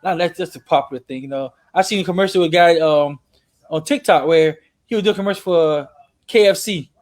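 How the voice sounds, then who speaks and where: A man hosting a casual conversation, telling anecdotes in an animated way and acting out quotes. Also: on the high side, brisk. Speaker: podcast host. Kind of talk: casual chat.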